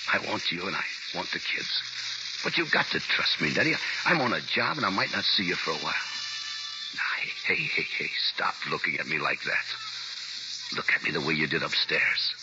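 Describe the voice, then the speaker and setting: A tough paroled ex-con in a 1940s radio play, deep and earnest with a lot of swing in his tone. The old broadcast is muffled under a heavy hiss. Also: wildly swooping pitch, normal speed, over music. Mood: tender. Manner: low, earnest, reassuring.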